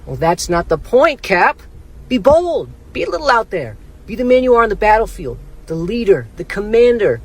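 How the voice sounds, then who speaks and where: Cocky American teen boy sidekick, played by a man, high and lively, brash and teasing, audio drama. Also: moderate pace. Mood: brash encouragement.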